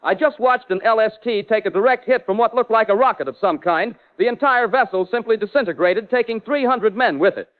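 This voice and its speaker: Through a tinny, narrow radio link comes a high-pitched American war correspondent of the 1940s, played by a man. He is shaken and urgent, giving florid eyewitness reports in the manner of period radio drama. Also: fairly quick, hardly any pauses. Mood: alarmed, horrified. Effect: radio transmission filter.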